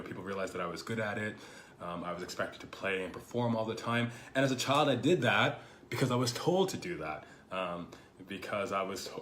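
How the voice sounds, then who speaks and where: A man with a lowish, slightly hoarse voice, still getting over a cold, speaking in a roomy space with a faint hiss behind him. He talks as the host of his own show, thoughtful and rambling, often pausing on "you know" as he works through his ideas. The delivery is casual and personal rather than polished. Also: fairly bright tone. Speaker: podcast host. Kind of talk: casual reflective monologue. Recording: echoey room with faint background noise.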